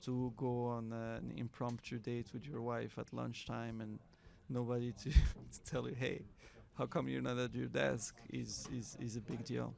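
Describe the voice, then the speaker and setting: A man from Morocco, a guest entrepreneur talking casually in an interview, halting and repeating words, laughing often. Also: medium pitch, little pitch movement, creaky, darkish tone. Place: Miami.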